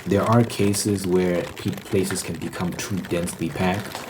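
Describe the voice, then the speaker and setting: A man giving an explanatory ASMR ramble in a halting way, often repeating words and phrases. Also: low-pitched, fairly even intonation. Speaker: ASMR video host. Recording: noticeable background noise.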